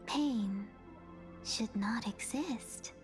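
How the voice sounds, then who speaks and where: An actress voicing an eerie female cultist in a video game, with a breathy, drawn-out whisper and game music behind. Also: fairly high pitch.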